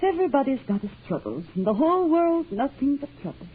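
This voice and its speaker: A woman's voice: high voice, very animated, fast talker. Fretful old grumbling mother. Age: older. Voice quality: grumbling, fussing over money. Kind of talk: 1940s radio acting. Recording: tinny, hissy 1940s radio broadcast.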